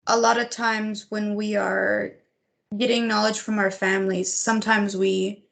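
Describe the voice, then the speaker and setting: Thoughtful female co-host, gravelly voice with vocal fry. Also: mid-range voice, level tone, measured pace, fairly bright tone. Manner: thoughtful, searching for words. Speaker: podcast co-host. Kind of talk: casual chat.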